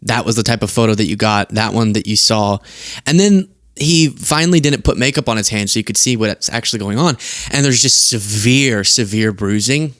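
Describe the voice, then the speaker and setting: A young man hosting a political commentary show from his studio desk, talking casually and animatedly with a giggly, tired manner. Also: no echo. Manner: animated, giggly, quick asides and sudden high outbursts. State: tired from lack of sleep. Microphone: earpiece and studio mic.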